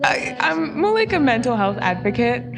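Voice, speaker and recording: An actress playing an awkward, modest young mental health influencer, speaking haltingly in naturalistic film dialogue over loud music. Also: female voice, high voice, big pitch swings, creaky, heavily acted. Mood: awkward, hesitant. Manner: hesitant, halting.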